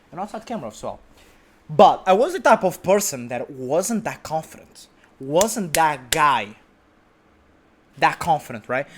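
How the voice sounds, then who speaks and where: A confident man talks straight to camera from his sofa at home, brash and sure of himself. His voice sits a little high and swings widely in pitch as he rambles, restarting sentences and tossing in the occasional swear word. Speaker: vlog host. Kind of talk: unedited casual monologue.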